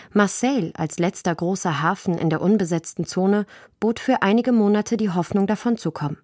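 A woman's voice: mid-range voice, darkish tone, no echo, clean studio sound. A woman reading scripted narration as a radio documentary narrator.

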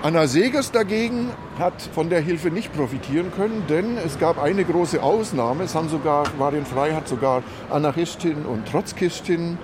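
A man's voice: on the high side, moderate pace, hardly any pauses. A man speaking as a city tour guide, explaining history to listeners as he goes. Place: outdoors in a city. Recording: outdoor street and traffic noise.

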